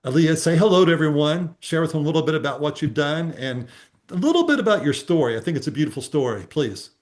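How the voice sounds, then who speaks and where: A man's voice: a little high, very animated, fast. A man hosting a casual networking video call, upbeat and persuasive with lots of fillers.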